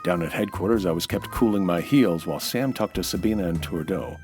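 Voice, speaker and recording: An actor playing a hard-boiled, cynical American nightclub owner in a dry, clipped detective radio drama style. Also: male voice, sits low, a little fast, a little mellow, soft background music, close to an everyday voice. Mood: wry, narrating. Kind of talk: 1940s detective radio drama narration and dialogue.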